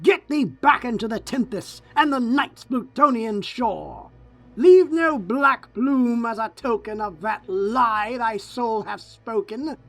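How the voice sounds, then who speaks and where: A man's voice: very high-pitched, slow pace, a bit bright, voice pushed up, exaggerated voice. A man playing an anguished, haunted, grieving man in a gothic poetry reading, crying out in impassioned, theatrical pleas. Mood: furious shrieking. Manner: impassioned, pleading, theatrical cries.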